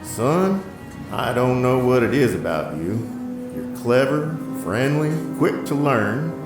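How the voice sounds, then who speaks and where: A man's voice: medium pitch, sing-song, takes it slowly. An actor playing a kindly, world-weary older diner owner in an audio drama, fatherly and plainspoken. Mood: warm, fatherly. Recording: echoey, with loud music underneath. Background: music.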